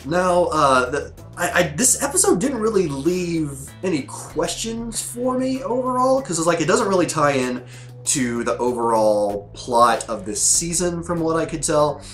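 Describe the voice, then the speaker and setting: A man's voice: on the high side, sing-song, unhurried, a bit bright, faint music behind. A man hosting his own show, chatting loosely with lots of fillers and restarts, his voice scratchy from a sore throat. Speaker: host reviewing episodes. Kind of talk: casual rambling commentary to camera.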